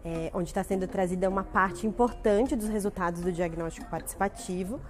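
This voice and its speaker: A woman's voice: mid-range voice, brisk, faint music behind. A Brazilian woman, a team coordinator, giving an explanatory interview statement.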